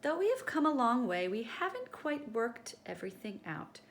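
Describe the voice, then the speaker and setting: A woman reading a picture book aloud to children in an expressive, teacherly way, speaking directly to her young listeners. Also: a little high, normal speed, a little hiss or hum.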